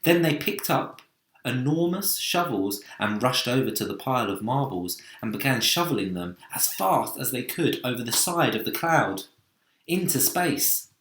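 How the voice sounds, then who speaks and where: A man reading a children's story aloud as narration, deliberate and dramatic in delivery. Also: medium pitch, big pitch swings, a bit bright. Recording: echoey room.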